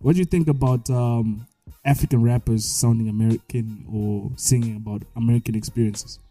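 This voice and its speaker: Dark-voiced Zimbabwean man debating. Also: medium pitch, steady tone, dry recording, soft background music. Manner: talks over others, quick restarts. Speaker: podcast co-host. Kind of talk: casual debate.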